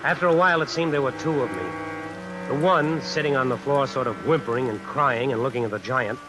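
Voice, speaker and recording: A man's voice: medium pitch, noisy background, close to an everyday voice. A man playing a cornered tough guy who narrates his predicament in a colloquial, brooding way in an old radio suspense play. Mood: shaken, brooding. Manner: colloquial, deliberate, brooding inner monologue. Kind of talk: 1940s-50s radio suspense acting, first-person narration. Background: music and rain sounds.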